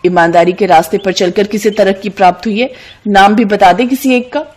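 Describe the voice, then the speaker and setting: A woman's voice: medium pitch, steady tone, a little fast, darkish tone, close to an everyday voice. Woman voicing a pushy, scheming young city woman. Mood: persuasive. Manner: pushy, scolding, persuasive. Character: cunning, greedy young city woman, bossy best friend. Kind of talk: cartoon moral story dialogue.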